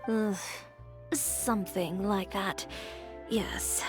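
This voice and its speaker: Cool, self-assured fashion designer. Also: female voice, medium pitch, fairly even intonation, drawn-out words, over music. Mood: businesslike. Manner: matter-of-fact, dry, self-assured. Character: proud, businesslike young female fashion designer, brusque and composed. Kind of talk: video game character dialogue.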